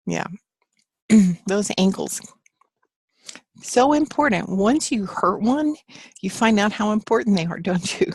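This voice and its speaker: A woman's voice: mid-range voice, lively intonation, takes it slowly, pauses often, darkish tone, breathy edge, no echo. A woman teaching a live online class from her home office, chatting with students and reading their answers aloud in an encouraging way. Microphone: computer mic on a video call.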